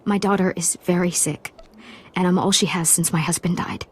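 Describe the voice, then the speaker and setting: Woman playing a soft-spoken, worried widowed mother. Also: fairly low pitch, steady tone, moderate pace, a little hiss or hum, natural voice. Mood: reserved, worried. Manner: soft, polite, hesitant. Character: worried widowed mother, reserved but grateful. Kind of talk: dramatic video game acting.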